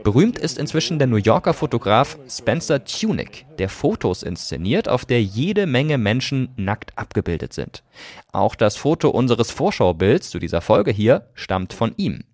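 A man's voice: medium pitch, very animated, moderate pace, darkish tone. A man hosting a podcast, presenting scripted narration with some conversational phrasing. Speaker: podcast host.

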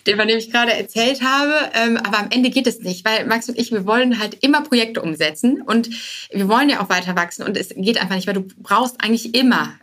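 A woman's voice: fairly high pitch, a little fast, fairly bright tone. A woman speaking as a podcast guest and startup co-founder, answering informally with hesitant phrasing and English business jargon. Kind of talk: conversational interview answers.

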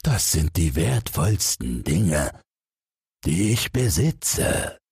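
Man voicing a slow, husky, cowardly giant. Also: deep voice, big pitch swings, darkish tone, no echo, clean studio sound, exaggerated voice. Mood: reluctant, grave. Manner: slow, ponderous, plaintive. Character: big cowardly, gullible three-headed male giant. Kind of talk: storybook character voice.

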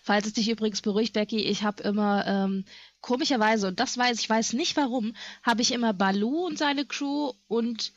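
Woman podcaster chatting, kinda high voice, muffled lo-fi dry audio. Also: normal speed, no room sound. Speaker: podcast co-host. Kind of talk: casual chat about cartoons. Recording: dull, lo-fi voice track.

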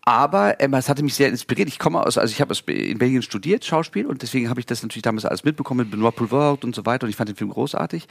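A man's voice: mid-range voice, no echo. A man in his forties, a filmmaker talking casually in an interview, rambling with many fillers and restarts. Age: 40s.

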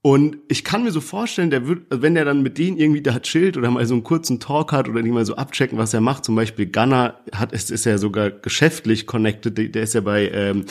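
A man's voice: mid-range voice, darkish tone. A young man co-hosting a casual podcast chat, hedging his views in a slangy, easygoing way. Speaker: podcast co-host. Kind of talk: casual chat about rap releases.

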